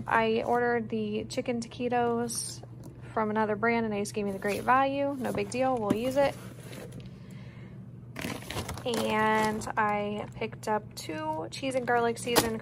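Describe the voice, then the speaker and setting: An American woman with a fairly high voice chats casually through a household vlog. She sounds easygoing and plainspoken. The recording is homemade, in a kitchen, with clear background noise. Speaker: home vlogger. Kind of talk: casual grocery haul and meal plan narration. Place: home kitchen, indoors.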